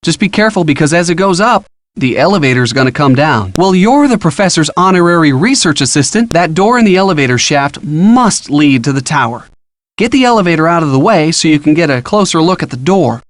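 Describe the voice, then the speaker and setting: A man plays an eager young male sidekick from a detective video game. His voice sits fairly high, and he gives earnest, encouraging hints, clearly scripted, in a dry, close recording. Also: animated, fairly quick, dry recording, natural voice. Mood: helpful, coaching. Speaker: hint-giving game character.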